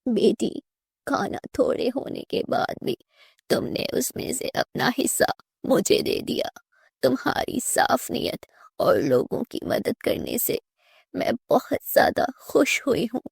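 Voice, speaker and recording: A woman voicing a frail, kind old beggar granny in a cartoon moral story, with a breathy, raspy whisper and a slow, pleading, tender manner. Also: a little high, huge pitch swings, no echo, exaggerated voice. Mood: tearful gratitude.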